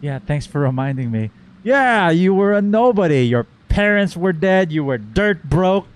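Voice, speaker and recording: High-pitched, animated man reacting to a game. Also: unhurried. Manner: playful, repeats and riffs on words. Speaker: gaming content creator reacting to game. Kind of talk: casual reaction commentary.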